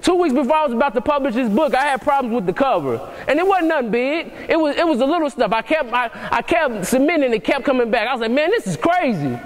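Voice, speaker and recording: Young man, very high voice, motivational preacher, earnest and repetitive, long church-hall echo. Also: expressive, fast, hardly any pauses. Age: young adult. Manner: earnest, repetitive, many restarts, rising to preachy emphasis. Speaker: motivational speaker. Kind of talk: preaching-style motivational talk. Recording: echoey hall reverb.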